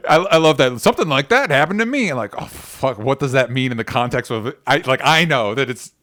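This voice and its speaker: Guy hosting a podcast, medium pitch, stumbles over his thoughts, swears, dry sound. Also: animated, fairly bright tone, no room sound. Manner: halting, self-correcting, freely swearing. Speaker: podcast host. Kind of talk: casual chat.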